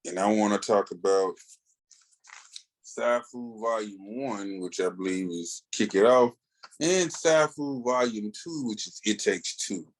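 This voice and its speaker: A man's voice: lowish voice, measured pace, rough recording. A man co-hosting a podcast, talking casually in a slangy, emphatic, digressive way as he gives his opinions. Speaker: comics podcast co-host. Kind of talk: casual, opinionated comic book review chat.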